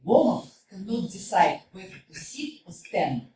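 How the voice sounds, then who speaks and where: A woman reading the stage directions and cast list aloud in a measured, matter-of-fact way, recorded from a distance in a roomy hall. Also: medium pitch, very animated, noisy background.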